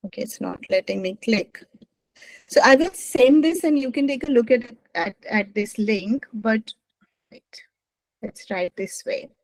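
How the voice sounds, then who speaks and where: A woman's voice: on the high side, animated, measured pace, no echo, rough recording. Gujarati-speaking woman presenting over video call. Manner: rambling, self-correcting, apologetic. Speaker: guest speaker, academic. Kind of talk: online presentation.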